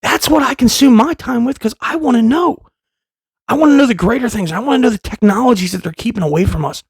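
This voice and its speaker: Gravelly, husky man ranting. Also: very high-pitched, quick pace, dry recording, studio quality. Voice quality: loud voice. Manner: rambling, profane, impassioned, with many restarts. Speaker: vlogger, father. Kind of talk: casual monologue to camera.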